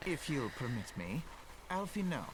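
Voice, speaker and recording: An actor playing a courteous, self-assured young noble scholar in a video game, speaking in formal, eloquent phrases. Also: male voice, medium pitch, very animated, normal speed, noisy background, close to an everyday voice. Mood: courteous, composed. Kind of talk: scripted video game dialogue.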